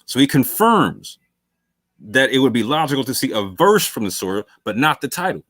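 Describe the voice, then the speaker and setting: A man's voice: medium pitch, big pitch swings, moderate pace, a bit bright, no room sound. A male talk show host giving long, emphatic commentary late in a livestream. He repeats phrases for stress, calls listeners fam, and sounds worn from hours on air.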